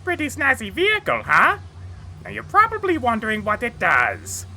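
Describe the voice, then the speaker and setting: A woman voicing a bumbling male cartoon villain, a frantic mad scientist with a sharp, strained voice who rambles and exclaims theatrically. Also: a little high, huge pitch swings, moderate pace, slight background noise, heavily acted. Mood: boastful. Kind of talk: cartoon fan tribute monologue.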